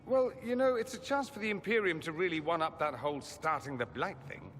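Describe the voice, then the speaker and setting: An actor playing a flamboyant, self-assured mage in a game cutscene, arch and sardonic. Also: male voice, on the high side, a little fast, fairly bright tone, close to an everyday voice. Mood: wry. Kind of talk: dramatic game cutscene acting. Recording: echoing hall, noisy ambience.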